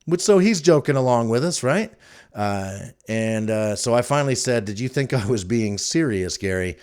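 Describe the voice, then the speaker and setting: A man's voice: mid-range voice, lively intonation, normal speed, darkish tone, clean tone. A man hosting a podcast, telling stories casually in an informal, wry and amused way. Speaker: podcast host. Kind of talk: casual rambling storytelling to listeners.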